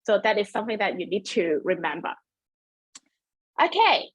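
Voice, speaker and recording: A woman's voice: medium pitch, big pitch swings, moderate pace, husky. A woman whose first language is Cantonese, hosting a webinar in a chatty, self-deprecating way with many fillers and restarts, talking into a computer mic. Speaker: webinar host. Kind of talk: presenting a webinar.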